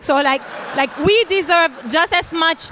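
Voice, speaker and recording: A woman voicing a nervous young Dominican American college student from New York, rushing and filling every pause with "like" and "um". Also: very high voice, bright, forceful tone, slight background noise, voice pushed up, exaggerated voice. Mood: nervous, eager. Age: young adult. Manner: nervous, rushed, peppered with "like" and "um". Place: large auditorium stage.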